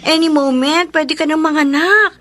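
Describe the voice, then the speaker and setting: A woman's voice: high voice, normal speed, a bit bright. An actress playing a worried, protective young woman, a loyal friend, in a radio drama. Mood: concerned.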